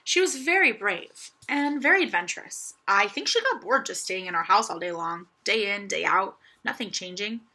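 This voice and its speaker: A woman's voice: mid-range voice, lively intonation, normal speed, sharp tone. A woman voicing a young girl doll in a children's story, eager, earnest and confiding, restless for adventure. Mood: restless, longing. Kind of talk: children's audiobook character voice.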